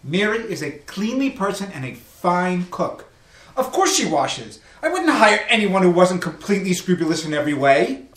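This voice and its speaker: Man acting a high-pitched, genteel rich householder. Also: big pitch swings, normal speed, a bit bright. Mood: protesting. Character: genteel, sceptical wealthy master of the house. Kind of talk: old-time radio acting. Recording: roomy, echoing room.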